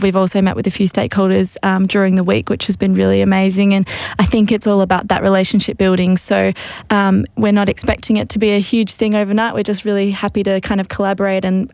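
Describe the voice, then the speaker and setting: A woman's voice: medium pitch, a little mellow, dry recording. Woman project worker, um-filled talk. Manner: hesitant, many ums and fillers. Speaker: project coordinator. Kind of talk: casual radio interview.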